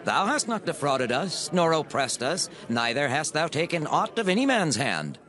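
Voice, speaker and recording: A man's voice: on the high side, sing-song, normal speed, fairly bright tone, some chatter behind. An actor playing an earnest man of Israel, declaring emphatically in a dramatized scripture reading. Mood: earnest, assuring. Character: earnest man of Israel answering his prophet.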